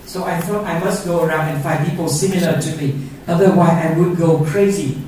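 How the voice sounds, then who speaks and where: A middle-aged man, a native Vietnamese speaker, addresses a conference audience in an earnest way and often checks in with "yeah?". His voice sits a little high for a man. He is heard from a distance in a very echoey auditorium, with faint noise underneath. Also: fairly quick, darkish tone. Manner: earnest, asks the audience questions, frequent "yeah?" tags. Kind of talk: keynote talk to an audience. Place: university auditorium. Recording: roomy auditorium sound from far off.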